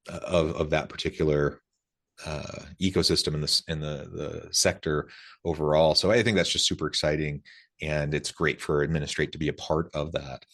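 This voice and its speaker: A man's voice: very deep voice, a bit bright. A man hosting a podcast interview in a conversational way, wordy and often doubling back on his words. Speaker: podcast host.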